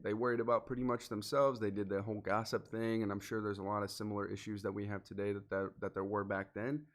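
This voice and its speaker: Low-voiced sniffly man giving motivational talk. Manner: earnest, direct, speaks to the listener. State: sniffly, congested from allergies. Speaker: podcast host. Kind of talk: solo motivational podcast monologue.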